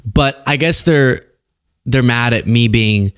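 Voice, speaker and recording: A man's voice: mid-range voice, slow, a bit bright, clear, resonant tone, dry recording, studio quality. A man hosting casual political commentary in a wry, conversational way. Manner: wry, conversational, reading messages aloud mockingly. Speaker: political commentator and show host.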